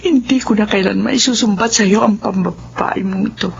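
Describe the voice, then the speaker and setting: A woman acting as a middle-aged wife and mother in a radio drama, earnest and pleading, later warm and grateful. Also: mid-range voice, a little fast, vocal fry, slightly rough. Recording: muffled radio broadcast audio.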